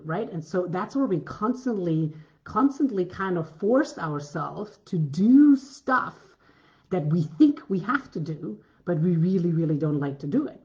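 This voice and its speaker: A woman with a deep voice giving a coaching masterclass, earnest and repetitive, often restarting her sentences. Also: a little mellow, lo-fi sound. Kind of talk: masterclass talk.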